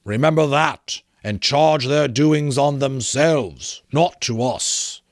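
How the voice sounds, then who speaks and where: A man plays a gigantic ghostly spirit from a storybook, stern but benevolent. He declaims very slowly and emphatically, pressing on each word in a dry, close recording. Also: mid-range voice, no echo, close to an everyday voice. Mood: indignant, solemn. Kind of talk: dramatic storybook reading.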